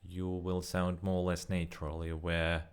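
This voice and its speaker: A young man with a low, smooth voice rambles to his viewers in English, which is not his first language. He talks loosely, with fillers and restarts as he goes. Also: monotone, moderate pace. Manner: rambling, self-correcting, frequent fillers. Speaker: vlogger. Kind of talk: casual spoken practice vlog.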